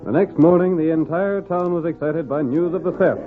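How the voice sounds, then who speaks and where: A man's voice: a little high, a little fast. A man narrating an old-time radio western drama as the show's announcer. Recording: tinny old radio recording with music.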